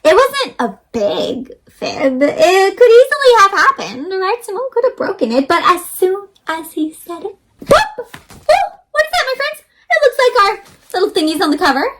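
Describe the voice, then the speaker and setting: A woman's voice: very high voice, a little hiss or hum. A woman hosting an interactive read-aloud for young children, speaking expressively and putting questions to her listeners. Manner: animated, expressive, asks listeners questions with pauses.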